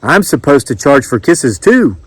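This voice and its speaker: A man voicing a smooth-talking, flirtatious island man with an exotic accent in a storybook narration. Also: medium pitch, very animated, unhurried, no room sound.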